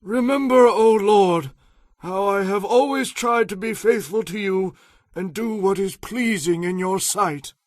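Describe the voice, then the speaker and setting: A man's voice: sits high, a little slow, dry recording. An actor playing an anguished, dying king who pleads fervently in prayer in a dramatized audio Bible. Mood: anguished, pleading.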